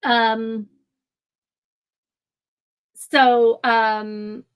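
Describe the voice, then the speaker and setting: A woman's voice: a little high, little pitch movement, very slow, clear, resonant tone. Chatty woman rambling to her live chat. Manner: chatty and rambling, many ums and restarts, laughs easily. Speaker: art livestream host. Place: home room. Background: soft music.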